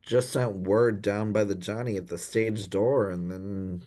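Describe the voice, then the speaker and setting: An actor playing an anxious, dim young gentleman in a comic period radio play, dithering and apologetic in posh old-fashioned slang. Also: on the low side, fairly even intonation, measured pace, natural voice. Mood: fretful. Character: anxious, dim young upper-class Edwardian gentleman in trouble.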